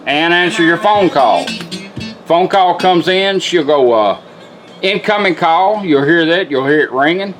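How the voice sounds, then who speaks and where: Folksy guy kinda high voice showing how a gadget works, guitar music playing behind him. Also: measured pace, fairly bright tone. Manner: folksy, plainspoken. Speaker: car radio restorer demonstrating a product. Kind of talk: casual hands-on product demonstration.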